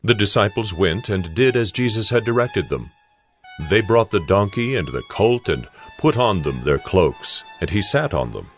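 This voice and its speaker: A man with a deep voice narrates a dramatized scripture story. His delivery is scripted and lively in pitch, over soft music. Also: moderate pace.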